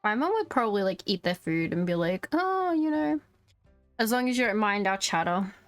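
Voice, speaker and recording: A woman's voice: medium pitch, very animated, moderate pace. A woman game streamer in a casual livestream, reading dialogue and commenting in an informal, joking, slangy way. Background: faint game music.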